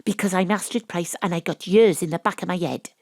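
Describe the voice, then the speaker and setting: A woman with a Welsh accent playing a brash, wry, tipsy private detective in an audio drama, deadpan on a close microphone. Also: on the low side, a little fast, no room sound. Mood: blunt, confiding. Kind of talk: audio drama acting with first-person narration. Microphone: close home studio microphone.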